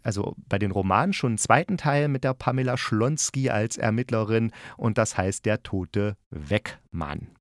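A man's voice: fairly low pitch, dry recording, studio quality. A man hosting a casual spoken review, chatting informally and thinking aloud as he goes. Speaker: podcast host reviewing audio dramas.

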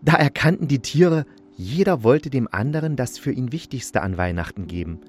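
A man narrating a fairy tale as an expressive storyteller, reading from a script. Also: medium pitch, moderate pace.

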